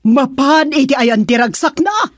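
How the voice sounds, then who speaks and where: An actress playing a suspicious, wounded middle-aged mother in a Filipino radio drama, with a husky voice and Filipino accent. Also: high-pitched, animated, measured pace, dry recording, studio quality. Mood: bitter, agitated.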